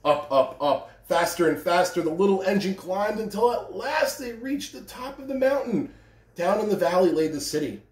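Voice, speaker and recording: Guy in his 30s talking to kids like storytime, very animated, roomy sound. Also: sits high, normal speed. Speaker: school read-aloud host. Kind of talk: expressive picture-book reading and talking to children. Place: living room, indoors. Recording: roomy living-room echo.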